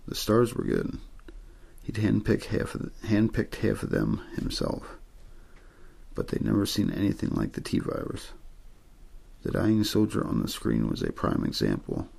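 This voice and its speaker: A man reading a novel aloud as a quiet ASMR bedtime story, in an understated, untheatrical way with a low, gravelly, husky voice. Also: huge pitch swings, moderate pace.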